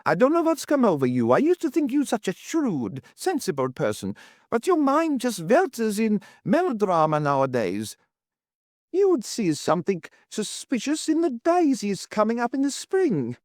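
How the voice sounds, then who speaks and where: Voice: wildly swooping pitch, moderate pace, darkish tone, no echo, studio quality. A narrator voicing a fretful, sentimental landlady in an audiobook, plaintive and emphatic. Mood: indignant, scornful. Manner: plaintive, emphatic, high-strung. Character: fretful, sentimental, agitated middle-class landlady.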